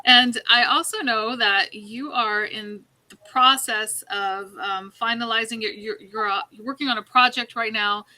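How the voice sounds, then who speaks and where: A woman's voice: fairly high pitch, steady tone, measured pace, few pauses, pushed and bright, low-quality recording. A woman interviewing a guest in a conversational way as a talk show host.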